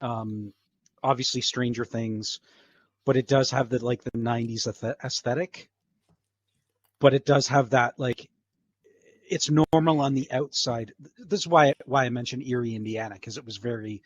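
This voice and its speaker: A man's voice: mid-range voice, moderate pace, no room sound. A middle-aged man who works as a voice actor, chatting casually as a guest on a podcast. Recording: slightly dull, lo-fi sound.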